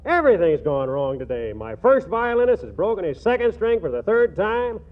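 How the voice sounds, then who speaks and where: A man's voice: high-pitched, big pitch swings, a little fast. A man playing an exasperated, put-upon orchestra leader in a 1940s radio comedy sketch. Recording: tinny, narrow 1940s radio broadcast.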